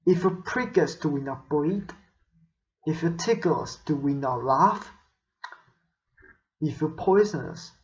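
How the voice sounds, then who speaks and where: A man performing a bitter, vengeful moneylender in a Shakespearean monologue, declaiming with emphatic, deliberate phrasing. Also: high voice, highs cut off.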